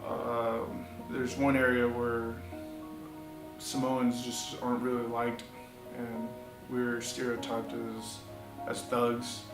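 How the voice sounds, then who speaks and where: A man from San Diego answering interview questions in a measured, plainspoken way. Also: medium pitch, completely flat delivery. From San Diego, California. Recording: echoey room, distant mic, music bed.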